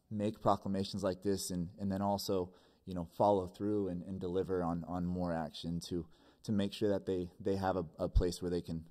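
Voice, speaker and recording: Young adult man, very low and even delivery; sincere public comment at a meeting mic. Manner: sincere, repeats words as he restarts. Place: school board meeting room. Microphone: desk microphone.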